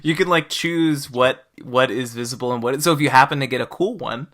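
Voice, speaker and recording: A man's voice: mid-range voice, fairly quick, a bit bright. A man hosting a podcast, chatting casually about a game in a rambling, enthusiastic and emphatic way. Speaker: podcast host.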